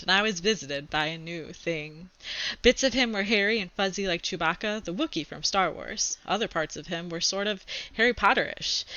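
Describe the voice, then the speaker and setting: Woman, bright forceful voice, teacher's read-aloud, expressive, casual asides, dry lo-fi sound. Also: medium pitch, no room sound. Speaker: teacher reading a book aloud to a class.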